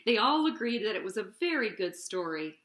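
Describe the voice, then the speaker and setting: A woman hosting children's storytime, narrating a picture book in an expressive, deliberate, sing-song way. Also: medium pitch.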